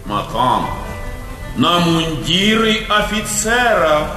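An actor playing a courteous young aristocratic officer with a formal manner in a Russian stage comedy. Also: male voice, a little high, very animated, takes it slowly. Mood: anxious reporting. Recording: very echoey hall, music behind.

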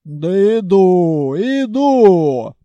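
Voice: big pitch swings, drawn-out words, dark tone, no echo, muffled recording. Чтец озвучивает хрупкую, но грубую и угрюмую эльфийку из аудиокниги, с хриплым басом и ворчливой простецкой речью. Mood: surly, gruff. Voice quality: hoarse bass. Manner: grumbling, slangy, curt. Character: frail elf woman, gruff troll-raised chain smoker, rude, surly.

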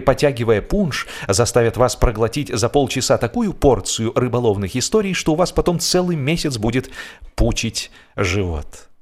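A man reads humorous literary narration for radio in a wry, theatrical way, leaning deliberately on key words. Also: mid-range voice. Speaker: audiobook narrator, actor.

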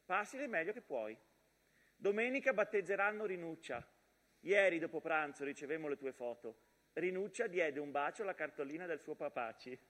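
A man's voice: a little high, expressive, normal speed, fairly bright tone. A man voicing an affectionate, playful young mother reading out a letter to her husband on stage. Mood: tender. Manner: tender, playful. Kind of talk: theatrical letter monologue. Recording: echoey hall with long reverb.

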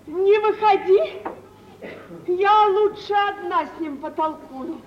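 A woman's voice: extremely high pitch, slow pace. An actress playing a fawning middle-aged mother with effusive, deferential manners, in an old theatrical radio play. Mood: fawning welcome. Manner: effusive, deferential, exaggerated politeness. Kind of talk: 1940s theatrical radio acting. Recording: dull, noisy vintage radio play recording.

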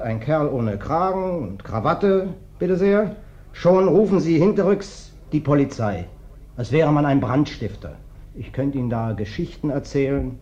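Casting: man playing a hulking ex-wrestler tramp for radio drama, obsequious, folksy, quietly threatening, mid-pitched, on a dull recording. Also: lightly acted. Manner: obsequious, folksy, wheedling, falsely humble. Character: big, ingratiating ex-wrestler drifter, slyly menacing. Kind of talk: German radio play acting. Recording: dull, band-limited radio play recording.

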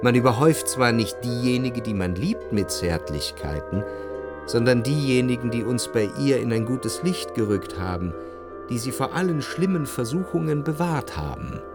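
An actor playing a grave, philosophising gentleman narrator in a radio drama, reflective and deliberately emphatic. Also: medium pitch, expressive, music in the background, close to an everyday voice. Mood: brooding, intense. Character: grave, philosophising gentleman brooding on love and suffering. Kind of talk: literary radio drama monologue.

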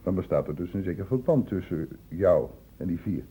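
A man playing a shrewd senior doctor in a Dutch radio play, brisk and probing, with a condescendingly paternal authority. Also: deep voice, lively intonation, warm tone. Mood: probing, fatherly. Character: shrewd senior male doctor questioning a student, brisk, fatherly. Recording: thin phone-like old radio recording, hissy.

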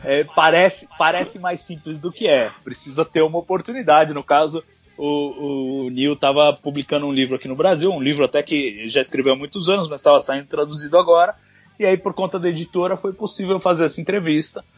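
A man's voice: fairly high pitch, steady tone, a little fast, breathy edge, no echo. A man, a journalist guest on a podcast, chatting casually and jokingly, with restarts as he thinks. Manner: thoughtful, joking, with filler restarts. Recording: muffled lo-fi audio.

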